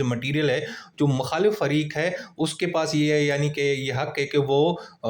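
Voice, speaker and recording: A man with a Pakistani accent teaches in the manner of an informal lecturer. His voice sits at an average pitch for a man. He explains things conversationally, leaning on everyday examples and imagined exchanges. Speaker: law lecturer.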